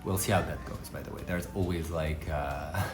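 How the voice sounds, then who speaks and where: A young man with a French accent chats through a casual food vlog, wandering off with fillers and little self-corrections. His voice sits low and deep. Clear music plays behind him the whole time. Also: fairly even intonation, moderate pace. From France. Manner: chatty, rambling, with many fillers and self-corrections. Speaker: food vlogger. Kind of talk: casual travel vlog narration.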